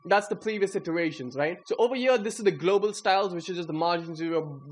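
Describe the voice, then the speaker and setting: Young guy w high voice walking thru his code, super casual, slangy, kinda self-deprecating. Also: fast. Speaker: coder presenting own project. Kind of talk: casual screen-recorded coding walkthrough.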